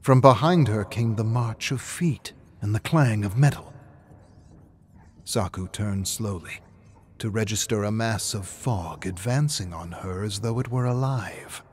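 Low-voiced man narrating dramatically. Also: unhurried. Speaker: narrator reading game lore. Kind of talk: dramatic scripted story narration.

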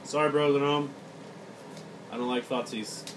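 A man's voice: medium pitch, steady tone, moderate pace. Grumbling man, far from a noisy mic. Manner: mumbled, grumbling, swearing. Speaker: card game opponent. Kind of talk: casual chat while playing. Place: indoor room at a game table. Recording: distant, noisy phone capture.

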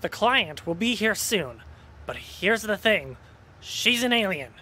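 An actor playing an eager, scheming sci-fi fan in a comedic audio drama, excitable and persuasive. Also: male voice, high-pitched, slow pace, heavily acted. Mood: persuading.

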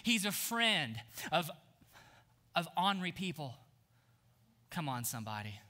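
A man in his thirties preaching an energetic sermon on a church stage, swinging from hushed lines to shouts. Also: fairly high pitch, unhurried. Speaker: pastor.

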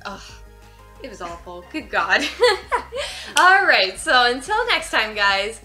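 A woman's voice: fairly high pitch, very animated, takes it slowly, pushed and bright, faint music behind. A woman in her thirties hosting a casual movie reaction chat, informal, giggly and exclamatory, in a living room. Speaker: host of a movie reaction show.

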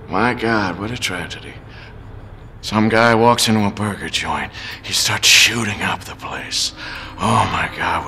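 Sadistic middle-aged hitman, bright, sneering, slow. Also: low-pitched, slight background noise, exaggerated voice. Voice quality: sneering, theatrical, swings from hushed to shouting. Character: gleeful sadistic male hitman, mocking. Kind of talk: film dialogue.

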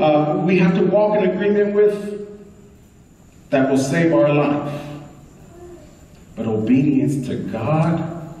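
A middle-aged man preaching a lively sermon, repeating phrases and prompting his congregation. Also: high-pitched, slight background noise. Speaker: pastor. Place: large bright church hall indoors. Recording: echoey hall recorded from far off.

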